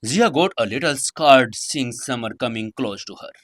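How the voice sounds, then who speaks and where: A man's voice: medium pitch, expressive, normal speed, a bit bright, no room sound. A man narrating a dramatic serial novel as its host, in non-native English with occasional slips and hesitations. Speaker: audio story narrator and channel host.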